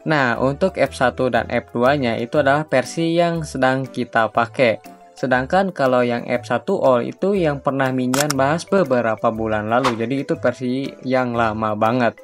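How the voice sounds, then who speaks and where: A man's voice: mid-range voice, normal speed. A young man narrating a tutorial in an informal, chatty, slangy way as a YouTube host. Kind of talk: casual screen-recording tutorial narration. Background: background music.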